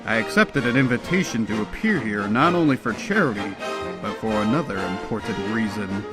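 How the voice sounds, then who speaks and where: A man's voice: fairly low pitch, lively intonation, fairly quick, music in the background, close to an everyday voice. A man playing a confident, earnest comic-book superhero recounting his exploits. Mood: matter-of-fact. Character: confident adult male superhero, earnest and quick-acting. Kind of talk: comic book performance, first-person recounting.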